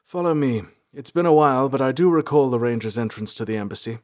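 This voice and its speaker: A man's voice: mid-range voice, expressive, fast, a little mellow, voice pushed up, natural voice. A man voicing a poised, courteous ranger in a storybook, speaking formally. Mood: assured.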